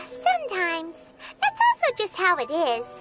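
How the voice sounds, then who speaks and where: An actress playing a tiny, perky, childlike fairy companion in a video game, with a squeaky cartoonish voice. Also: female voice, wildly swooping pitch, takes it slowly, over loud music, heavily acted. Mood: subdued.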